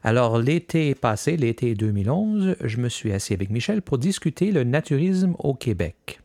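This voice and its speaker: A man's voice: medium pitch, sing-song, normal speed, mellow, dry recording, studio quality. A man hosting a podcast, hesitating often with fillers and restarts, his first language French though he now lives mostly in English. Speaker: podcast host. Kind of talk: scripted podcast introduction.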